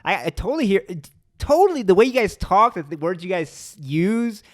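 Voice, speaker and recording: Chicago man in his thirties hosting a chat show, slightly high voice, animated and quick to laugh, dry close mic. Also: no echo. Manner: animated, laughs often, trails into restarts. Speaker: podcast host. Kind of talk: casual conversation.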